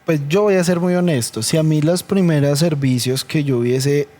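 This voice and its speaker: A Colombian-accented man gives his personal testimony in a measured, earnest way. His voice is mellow and warm in tone, sitting a little high for a man. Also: fairly even intonation. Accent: Colombian Spanish. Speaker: church member guest.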